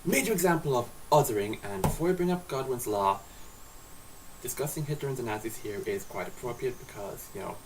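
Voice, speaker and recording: A tired Irish man talking to camera in the middle of the night, his words a little slurred. His rambling delivery swings widely in pitch. The mic sits some way from him, with a faint hiss underneath. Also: expressive, brisk, distant mic. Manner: rambling and conversational, with many restarts and acted-out asides. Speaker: vlogger. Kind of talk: casual spoken video essay. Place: indoors at home at night.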